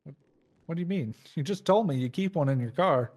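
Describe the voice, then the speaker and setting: A man's voice: a little high, lively intonation, fairly quick, darkish tone. A man playing a brash, curious criminal defendant in casual online roleplay, slangy and full of questions. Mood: baffled, curious.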